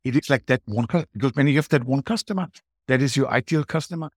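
A man's voice: mid-range voice, fast, dry recording, clean studio sound. A middle-aged or older man with an Austrian accent and German as his native language, giving emphatic, conversational answers as an interview guest. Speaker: podcast guest, booking strategist.

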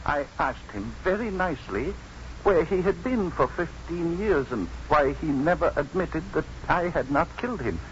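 Mild, plain-spoken ex-convict, 1950s radio play. Halting delivery, higher register, light hiss. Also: male voice, animated, a bit bright. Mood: deadpan, mild. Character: slow, simple, mild-mannered male ex-convict, earnest storyteller. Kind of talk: 1950s radio drama acting. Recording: muffled, noisy 1950s radio broadcast.

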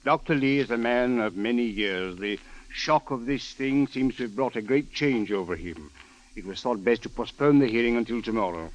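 Actor as stiff, exasperated police captain, dull recording. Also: male voice, medium pitch, moderate pace, natural voice. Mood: grave, measured. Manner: stiff, formal, uncontracted phrasing. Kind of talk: 1940s radio drama acting. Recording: dull old radio broadcast.

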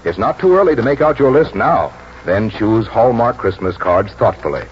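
A man announcing a scripted 1940s radio advertisement with polished, persuasive, deliberate diction. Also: fairly low pitch, animated. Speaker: radio announcer and sponsor pitchman. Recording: muffled, noisy old 1940s radio broadcast.

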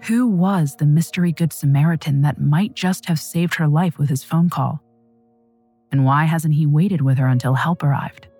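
Very low-pitched woman narrating true crime. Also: moderate pace, mellow, slightly rough, soft background music. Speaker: true crime podcast host. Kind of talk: scripted dramatic storytelling narration.

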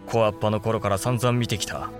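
An actor playing a worldly, cynical elder-brother samurai in a historical audio drama, speaking bluntly and with detachment. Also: male voice, fairly low pitch, steady tone, a little fast, music in the background, close to an everyday voice. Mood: cynical, reflective. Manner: blunt, detached, matter-of-fact.

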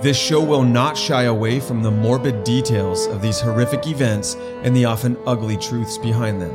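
A man co-hosting a podcast, moving from a measured scripted intro to easygoing banter. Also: mid-range voice, loud music behind the voice. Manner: dramatic, measured delivery in the intro. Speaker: podcast co-host. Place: restaurant dinner party.

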